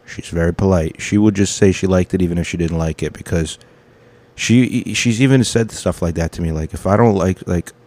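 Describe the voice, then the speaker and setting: A man's voice: low-pitched, moderate pace, a little mellow. A man in his thirties, a comedian hosting his own podcast, rambling through his week in a casual, profane, self-correcting monologue.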